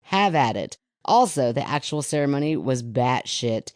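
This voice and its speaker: A woman with a very deep voice co-hosting a casual podcast, teasing and skeptical, swearing casually. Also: big pitch swings, moderate pace, darkish tone, dry recording. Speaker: podcast co-host. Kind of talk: casual banter and reading listener letters.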